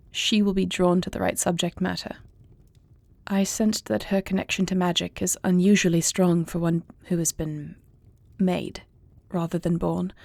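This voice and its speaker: A woman playing a thoughtful, devoted warrior princess in an intimate fantasy audio roleplay, speaking in formal, measured, eloquent phrases. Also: lowish voice, creaky, darkish tone, no echo. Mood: tender, thoughtful.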